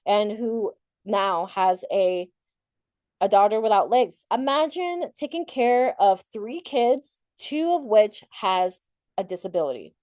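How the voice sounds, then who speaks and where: A woman's voice: mid-range voice, animated, slow pace, darkish tone, dry recording, studio quality. A woman from Thailand whose first language is Thai, giving an informal, candid motivational talk about herself in a reflective, conversational way, often rephrasing as she goes. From Thailand, later moved to the United States. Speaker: conference speaker sharing personal story.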